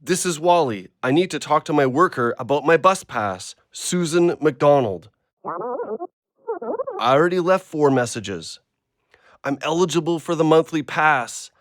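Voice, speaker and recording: A man's voice: on the high side, sing-song, measured pace, dry recording, studio quality. A man playing a weary but kindly man down on his luck in an audio drama, with a folksy, colloquial manner. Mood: frustrated.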